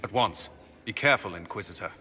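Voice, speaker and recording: A man's voice: on the low side, animated, fast, sharp tone. An actor voicing a blunt, practical male military commander in a video game, speaking directly and firmly. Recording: game dialogue over music.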